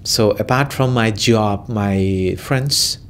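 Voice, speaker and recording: A man's voice: fairly low pitch, slow pace, a bit bright, slight background noise. A man in his thirties with a Nepali accent, answering interview questions in a hesitant way, with many fillers and restarts.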